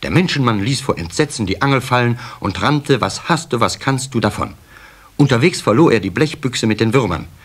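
A man's voice: medium pitch, animated, fairly quick, breathy edge. A man narrating a scripted children's story in a radio play.

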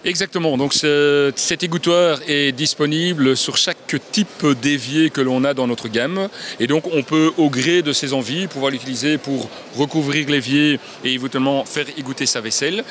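A man's voice: a little high, normal speed, hardly any pauses, a bit bright, slight background noise. A man in his thirties explaining kitchen products as a brand representative, agreeable, with many fillers. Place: large indoor trade fair hall.

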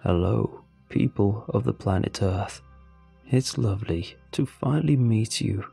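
A man voicing a stranded male alien in an audiobook-style story, with a deep, gravelly voice and slow, halting, deliberate delivery. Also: mellow, soft background music, heavily acted. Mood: calm, courteous. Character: stranded male alien, weary, hopeful, wryly amused.

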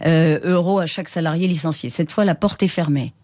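Insistent woman with a lowish voice. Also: a little fast, a little mellow, dry recording, studio quality. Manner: insistent, pointed, interrupting. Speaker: radio interviewer. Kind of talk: live political interview questioning. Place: radio studio, indoors. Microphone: studio microphone.

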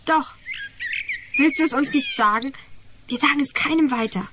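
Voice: high voice, moderate pace, natural voice. A performer playing an inquisitive, sceptical schoolgirl who talks insistently in a children's radio play. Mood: probing, sceptical. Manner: questioning, insistent. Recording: noisy radio play with birdsong.

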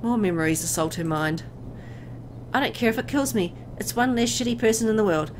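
A woman reading a horror visual novel aloud as dramatic, expressive narration, as host of a gameplay video. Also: medium pitch, brisk, slight background noise.

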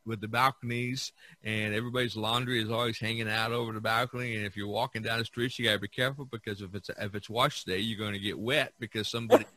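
A man hosting a podcast in casual chat, speaking haltingly and repeating words as he searches for phrasing. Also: lowish voice, fairly even intonation, pushed and bright, poor audio. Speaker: podcast host.

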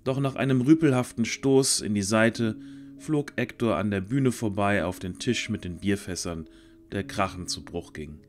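A man narrating a scripted fantasy audio drama in a measured storytelling manner. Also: lowish voice, faint music behind.

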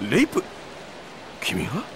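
An actor playing an easygoing, laid-back boss in his thirties in a video game, talking in a casual, loose, expressive way. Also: measured pace, noisy background, close to an everyday voice. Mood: amused, casual.

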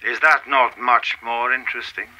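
An actor playing a mysterious, coolly menacing official in a radio play, with a formal, measured, insinuating manner. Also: male voice, medium pitch, fairly even intonation, bright, forceful tone, dull audio. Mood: knowing, condescending.

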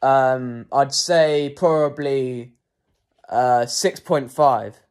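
Slow, hesitant young man rating players. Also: medium pitch. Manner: slow, hesitant, frequent ums and self-corrections. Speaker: football fan vlogger. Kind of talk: post-match player ratings, casual monologue.

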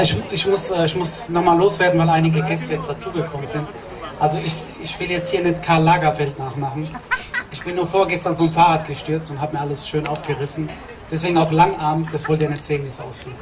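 A man's voice: fairly high pitch, steady tone, moderate pace. A man in his thirties, a singer, chatting and joking with the audience between songs on an outdoor party stage, laughing often as he talks into a handheld mic. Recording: distant camera, loud crowd and music.

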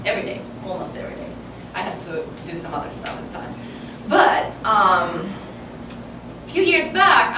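A woman with a Ukrainian accent talking informally as a painter on a panel, telling her story with asides and questions to herself, recorded from afar in a noisy, echoing hall. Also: mid-range voice. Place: large wooden hall with seated audience. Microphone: far camera. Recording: distant, very echoey, noisy room recording.

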